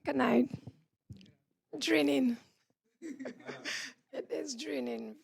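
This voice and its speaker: A woman's voice: high-pitched, very slow. A woman giving casual interview answers as a stage performer, over a noisy recording. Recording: clearly noisy event microphone pickup.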